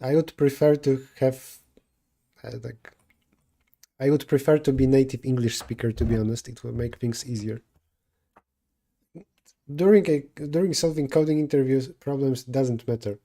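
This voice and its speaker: Mellow-voiced Polish man thinking aloud. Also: mid-range voice, moderate pace, pauses often. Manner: thinking aloud, self-correcting mid-sentence. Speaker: streamer solving coding problems. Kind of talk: live coding stream, answering chat.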